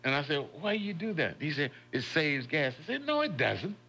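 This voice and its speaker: A man's voice: on the high side, wildly swooping pitch, normal speed, sharp tone, breathy edge, dull audio. A man hosting a morning radio show, chatting in a folksy way and laughing often. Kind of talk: casual radio chat. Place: radio studio. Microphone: studio microphone.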